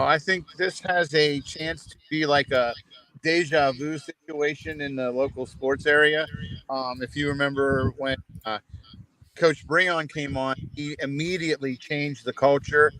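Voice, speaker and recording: Hoarse man in halting sports chat. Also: a little high, fairly even intonation, a bit bright, dry recording, rough recording. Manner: halting, many ums and restarts. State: hoarse, losing his voice. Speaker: co-host of a local sports show. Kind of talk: casual sports talk.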